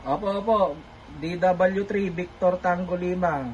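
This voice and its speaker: A man's voice: sits high, fairly even intonation, unhurried, lo-fi sound, heavy background noise. A polite man making a casual two-way radio call as an amateur operator, repeating himself for clarity. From Philippines.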